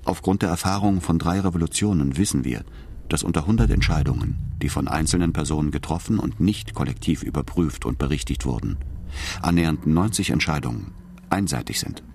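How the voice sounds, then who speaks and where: An actor playing a solemn, didactic orator, reciting in a measured, declarative way for a radio drama. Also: male voice, a bass voice, lively intonation, gravelly, a little mellow, slight background noise, heavily acted.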